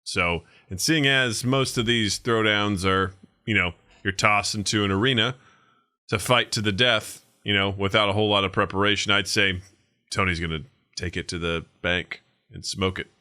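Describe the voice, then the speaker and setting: A man's voice: lowish voice, fairly even intonation, moderate pace, pushed and bright, clean tone. A man guesting on a podcast, chatting casually and playfully. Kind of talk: casual chat.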